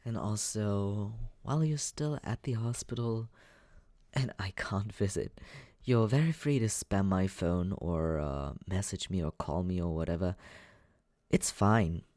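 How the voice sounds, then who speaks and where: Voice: low voice, a little slow, a little mellow, dry recording, studio quality. An actor voicing a teasing but caring young companion in an intimate, conversational ASMR comfort roleplay, hesitant and reassuring.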